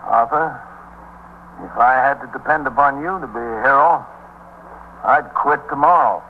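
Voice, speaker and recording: An actor playing a stern, dry police officer in a 1950s radio drama, terse and world-weary. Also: male voice, mid-range voice, moderate pace, close to an everyday voice. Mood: dry, scornful. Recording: tinny 1950s radio recording, faint hum.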